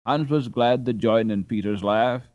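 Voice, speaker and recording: A man reading a story aloud as an audiobook narrator, in an expressive scripted style. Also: mid-range voice, moderate pace, no room sound, lo-fi sound.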